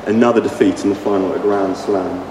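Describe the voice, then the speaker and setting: Croaky male preacher, hesitant and restarting, heard from across a reverberant hall. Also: fairly low pitch, steady tone, warm tone. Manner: halting, with many restarts and ums. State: slightly croaky voice. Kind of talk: sermon. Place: church hall. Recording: echoey hall from far off, noisy.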